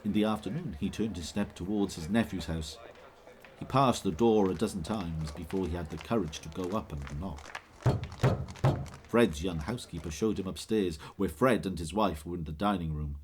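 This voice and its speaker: A man giving measured, scripted dramatic narration as the storyteller of a Victorian Christmas tale. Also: low-pitched, noisy background, natural voice. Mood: measured, fond.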